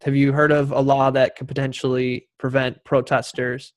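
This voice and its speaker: A man's voice: mid-range voice, monotone, moderate pace. A man moderating an online town hall informally, passing questions along to the panelists.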